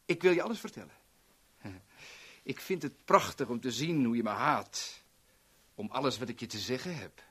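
A man's voice: medium pitch, lively intonation, moderate pace, long pauses, a bit bright, slightly rough. An actor playing a sadistic, taunting villain in a 1970s radio drama, theatrical and sneering as he savours his words. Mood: gloating, taunting. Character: sadistic, taunting male villain gloating as a blackmailer.

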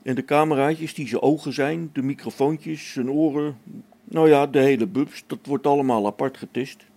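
A man's voice: medium pitch, moderate pace, warm tone, close to an everyday voice. A man playing a practical, down-to-earth space-station technician in a radio play, brusque and colloquial. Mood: matter-of-fact. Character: practical, matter-of-fact male space-station technician, mildly irritable. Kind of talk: Dutch radio play acting.

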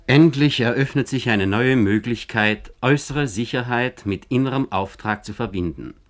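A man narrating a scripted biographical radio feature in German in a formal, measured manner. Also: medium pitch.

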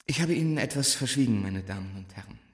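An actor playing a gifted, fragile young teacher in a stage drama, declaiming with halting searches for words. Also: male voice, mid-range voice, expressive, roomy sound, natural voice. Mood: grave, confessing.

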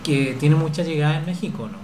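A man's voice: a little high, animated, a little fast, dark tone. A man working as an interviewer, putting questions to guests in a conversational interview. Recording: roomy echo with faint background noise.

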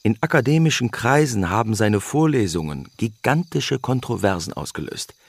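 An actor playing a wry, gossipy educated gentleman with a husky voice, savoring his words in a radio drama. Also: on the low side, animated, moderate pace, dry recording, heavily acted. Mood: wry relish.

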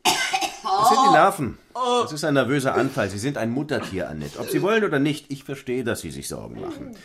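A man playing a plain-spoken, folksy middle-aged salesman husband in a stage play, blunt and excitable. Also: medium pitch, brisk. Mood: soothing, rambling. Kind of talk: theatrical stage-play dialogue.

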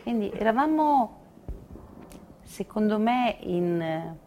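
A woman's voice: medium pitch, animated, slow, dark tone, slight background noise. An older woman giving spontaneous testimony, recalling her past. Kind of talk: spontaneous recollection.

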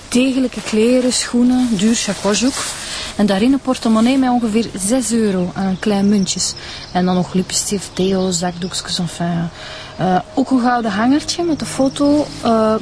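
A Flemish actress playing a practical, informal police detective in a radio drama, matter-of-fact and colloquial. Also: female voice, on the high side, a little mellow, slight background noise, lightly acted. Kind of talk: naturalistic radio drama dialogue.

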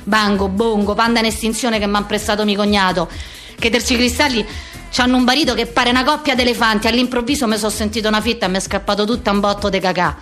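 A woman's voice: mid-range voice, fairly even intonation, fast, fairly bright tone, soft background music, close to an everyday voice. A woman performing a theatrical slang monologue as a harried, foul-mouthed office worker around fifty, with a Roman accent and a coarse, rhythmic rant. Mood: frantic, exasperated.

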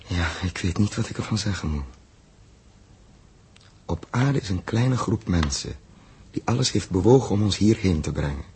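An actor playing an earnest, resolute spaceship captain with a husky voice and weighty, deliberate delivery in a 1960s radio drama. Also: male voice, low-pitched, lively intonation, a little mellow, slight background noise. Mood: solemn resolve. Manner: weighty, deliberate, halting over phrases. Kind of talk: 1960s Dutch science fiction radio drama acting.

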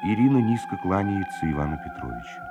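A man's voice: low voice, big pitch swings, fast, over loud music. A man narrating the stage action of an audio drama from a script.

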